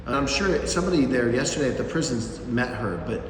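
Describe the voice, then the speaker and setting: A man's voice: mid-range voice, expressive, a little fast, a little hiss or hum. A man, a mission volunteer, telling a story in a loose, rambling way with many fillers. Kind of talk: casual group recap. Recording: echoey hall from far off.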